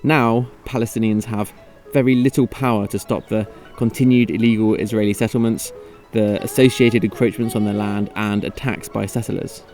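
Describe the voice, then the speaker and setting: A man reading scripted voice-over narration in a measured, slightly wry tone. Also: fairly low pitch, fairly even intonation, a little mellow. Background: music.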